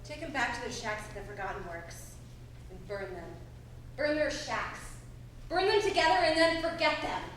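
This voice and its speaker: A woman's voice: on the high side, sing-song, normal speed, fairly bright tone. A woman acting in a stage play as a hard-edged, disgusted woman with a cutting, contemptuous manner. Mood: cold, vengeful. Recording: echoey, noisy stage recorded from far.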